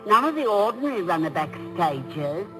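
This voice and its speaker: Chatty British theatre maid, music behind. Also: female voice, on the low side, big pitch swings, brisk, low-quality recording. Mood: chatty, deferential. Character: chatty female London theatre maid, deferential, informal. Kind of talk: 1950s radio drama acting.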